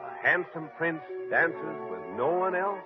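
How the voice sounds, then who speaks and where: A man's voice: a little high, expressive, unhurried. A man giving scripted storybook narration as the narrator of an old-time radio drama. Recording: tinny old radio recording. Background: music.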